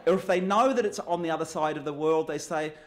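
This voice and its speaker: A man's voice: a little high, quick pace. A man from New Zealand with a New Zealand accent gives a public talk in a conversational, good-humoured way, with light self-deprecating asides. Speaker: guest speaker.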